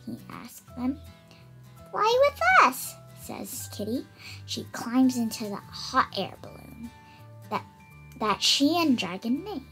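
A young girl of about eight reads a storybook aloud. Her voice is high and swings up and down a great deal as she takes her time through the words. Music plays behind her. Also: slow, rough recording. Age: child, about eight. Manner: expressive, careful reading with stumbles over words. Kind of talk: read-aloud children's picture book.

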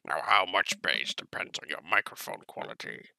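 A man voicing a menacing gunslinger villain with a gravelly, creaky, slow drawl, narrating a tutorial in character. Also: low-pitched, expressive, sharp tone, no echo, voice pushed down, exaggerated voice, low-quality recording. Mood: slow, deliberate.

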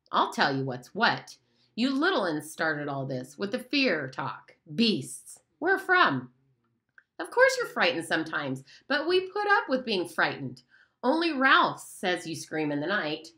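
A swaggering boy who bosses everyone and sneers at the little ones, as a woman reading the story puts him on. His bright voice shoots up in pitch as he blusters. He sounds contemptuous and sure of himself. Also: exaggerated voice. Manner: scornful, blustering. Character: bossy boastful boy hunter leader.

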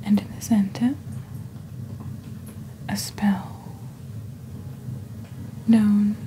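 A young woman presenting products in a soft, breathy, near-whispered ASMR delivery, deliberate and scripted, close to the microphone. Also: mid-range voice, slight background noise, low-quality recording. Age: young adult. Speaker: ASMR host playing a shopping channel presenter.

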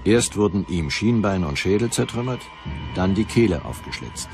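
A man's voice: sits low, animated, moderate pace, a little mellow. A man narrating a documentary from a script. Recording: music behind the voice.

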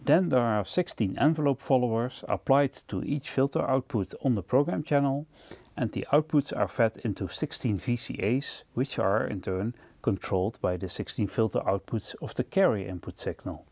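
A man presenting an instructional product overview in a measured, explanatory way. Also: mid-range voice, a little mellow, no room sound. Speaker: presenter of music gear demo videos.

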